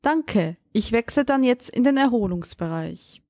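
A woman's voice: on the high side, lively intonation, unhurried, darkish tone, no room sound, studio quality. A woman playing a spaceship commander who puts brief questions and orders to her computer in a science fiction audio drama. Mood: businesslike.

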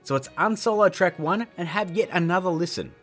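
A man's voice: sits high, fairly quick, faint music behind. A man in his thirties hosting a casual scripted tutorial in a jokey, emphatic, theatrical way. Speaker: tutorial host.

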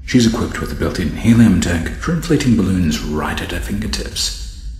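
Game villain inventor: very low, measured, proud showman, reverberant hall. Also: expressive, a little mellow, slight background noise. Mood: proud, presenting. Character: proud, calculating male animatronic inventor presenting his creation. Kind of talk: dramatic game voice acting, presenting.

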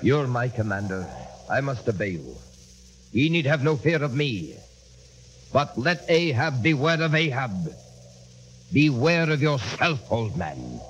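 An actor playing a principled, wary whaling first mate, speaking earnestly and formally in old radio drama style. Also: male voice, mid-range voice, sing-song, a little slow, highs cut off. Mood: grave, indignant. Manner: earnest, formal archaic speech. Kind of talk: mid-20th-century radio drama acting. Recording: old radio recording, faint hum.

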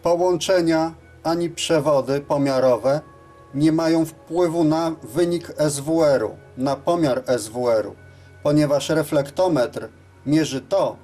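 A man's voice: a little high, dark tone. A man giving scripted, methodical and matter-of-fact instructional narration as the presenter of a technical tutorial.

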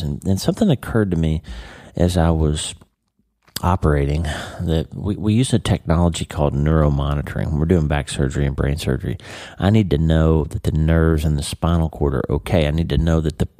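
Stuffy-nosed man with a low voice. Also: dark tone, no echo, clean studio sound. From United States. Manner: earnest, direct, repeats words while thinking. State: congested, stuffy nose. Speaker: podcast host, neurosurgeon. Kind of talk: casual monologue.

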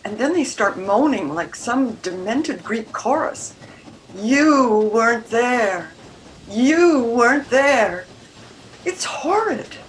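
A woman's voice: on the high side, lively intonation, slow, low-quality recording. An older woman acting a troubled, independent-minded married lady of the Edwardian era in a radio mystery, with formal, careful diction. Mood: distressed. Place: room at home, video call. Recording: video call with background noise.

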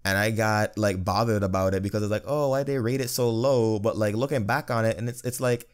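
Young man with a bassy, firm voice. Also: fairly quick, clear, resonant tone. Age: young adult. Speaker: guest reviewer. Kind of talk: casual podcast discussion.

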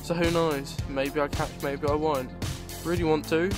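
A young Englishman with a slightly high voice chats loosely to his viewers from a cold riverbank. He rambles and calls them "guys," filmed on a handheld camera with music running underneath. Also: steady tone, normal speed. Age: young adult. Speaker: fishing vlogger. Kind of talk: casual outdoor vlog. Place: riverbank outdoors in winter. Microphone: handheld camera at arm's length.

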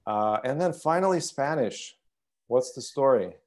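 A man's voice: medium pitch, expressive, measured pace, darkish tone. A man hosting an interview over a video call, a non-native English speaker whose first language uses Cyrillic, with encouraging interjections and frequent fillers. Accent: Slavic, Cyrillic-script first language. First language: language written in Cyrillic. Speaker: podcast host.

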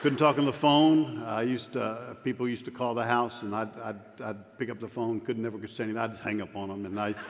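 A man's voice: mid-range voice, steady tone, fairly quick, hardly any pauses. A man in his fifties who stutters, preaching a joking, self-deprecating sermon on a church stage. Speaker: pastor. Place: large church sanctuary stage. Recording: long hall reverb, faint room noise.

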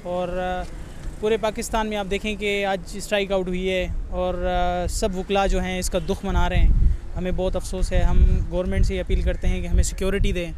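Young lawyer pleading, high even voice. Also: male voice, slight background noise. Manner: urgent, repetitive appeal with fillers. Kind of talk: street interview. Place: outdoors by a courthouse car park.

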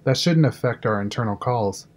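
A man's voice: medium pitch, normal speed, close to an everyday voice. A man playing a businesslike control room engineer in a fan audio drama. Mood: puzzled.

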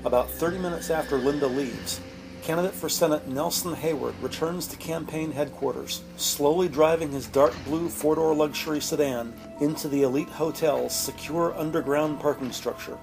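A man's voice: a little high, fairly even intonation, moderate pace, creaky, rough recording. Male drama narrator over music. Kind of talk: scripted audio drama narration, descriptive. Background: prominent music.

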